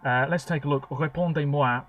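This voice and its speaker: A man's voice: on the high side, fairly even intonation, a little fast, fairly bright tone, slight background noise. A man giving a rambling, opinionated commentary in a video blog, chatty, with frequent fillers, talking from home. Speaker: video blogger.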